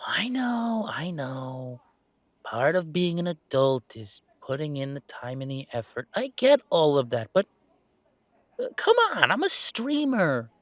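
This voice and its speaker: A man's voice: on the high side, sing-song, measured pace, no echo. A man playing a weary but fond werewolf gamer who streams, bantering and complaining in a conversational roleplay audio. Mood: mock defensive. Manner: sighing, bantering, mock-defensive complaining. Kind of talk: one-sided roleplay audio drama, conversational.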